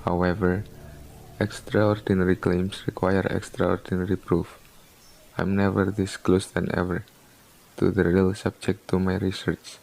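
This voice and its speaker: A man's voice: deep voice, little pitch movement, a little slow, gravelly, darkish tone, low-quality recording. A man playing a doctor in an amateur radio drama, asking probing, coaxing questions. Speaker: doctor, researcher.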